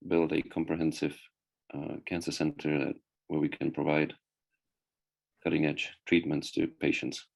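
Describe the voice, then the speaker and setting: Very deep-voiced, halting man. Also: creaky, a little mellow. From Czech Republic. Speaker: neurosurgeon, guest presenter. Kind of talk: informal conference presentation. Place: online video meeting.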